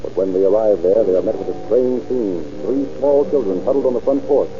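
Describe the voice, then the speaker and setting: A man narrating a 1940s radio drama in a measured, dramatic storytelling manner. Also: on the low side. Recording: dull old radio recording with music.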